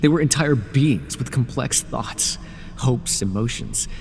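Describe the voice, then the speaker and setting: A man playing a gravelly, wounded fallen god. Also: mid-range voice, animated, a little slow. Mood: anguished. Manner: earnest, wounded, reproachful. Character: grieving, devoted fallen god in mortal form. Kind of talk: dramatic fantasy audio roleplay. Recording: reverberant hall effect, faint noise.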